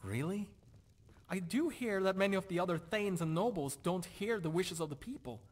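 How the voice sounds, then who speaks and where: A man's voice: high-pitched, lively intonation, normal speed. An actor playing a gloomy, disillusioned nobleman in a fantasy video game, brooding and questioning himself. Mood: doubtful, frustrated. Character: dour, disillusioned Nordic nobleman doubting his purpose. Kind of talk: video game character dialogue.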